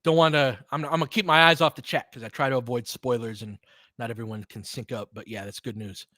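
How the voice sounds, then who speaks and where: A man doing live basketball play-by-play as an excitable, partisan fan, chatting casually with his viewers. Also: medium pitch, fast talker, no echo, clean studio sound. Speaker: fan play-by-play commentator, streamer.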